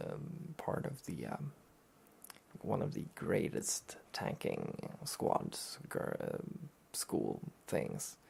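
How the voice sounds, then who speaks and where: A soft-spoken man hosting a relaxed review show, often near a whisper, rambling and hesitant in an understated way. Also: fairly low pitch, vocal fry, husky. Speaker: host of a soft-spoken anime talk show.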